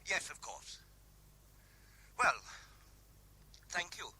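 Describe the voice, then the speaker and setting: A British actor playing an anxious, suspicious husband in a radio drama, husky and insistent in his questioning. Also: sits high, very animated, very slow, bright, forceful tone, full character voice. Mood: subdued, probing. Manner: urgent, probing, insistent.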